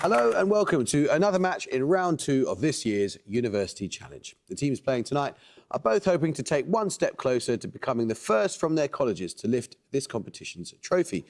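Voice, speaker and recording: A man hosting a quiz show, reading questions and introductions with a measured, precise delivery. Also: medium pitch, very animated, gravelly, darkish tone.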